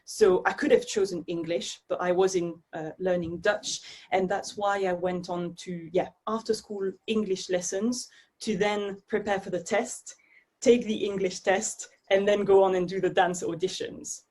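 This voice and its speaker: A woman's voice: medium pitch, fairly even intonation, normal speed, a little mellow. A young woman from Belgium, a native French speaker, talking about her dance training in a friendly, thoughtful interview. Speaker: dance artist guest. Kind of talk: casual interview chat.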